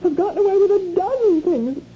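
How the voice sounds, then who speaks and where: A woman's voice: very high-pitched, animated, fairly quick. An actress playing an anxious, defensive married woman who shoplifts, pleading and evasive in a radio drama. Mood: agitated, defensive. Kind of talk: 1970s radio drama acting. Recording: muffled, noisy old radio recording.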